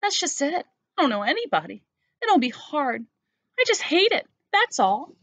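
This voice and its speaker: Woman reading as a whiny, high-pitched reluctant girl. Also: very animated, normal speed, a bit bright, no room sound, exaggerated voice. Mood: anxious, upset. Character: Sarah, reluctant, anxious, whining female refusing to leave bed. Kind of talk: storybook character voice.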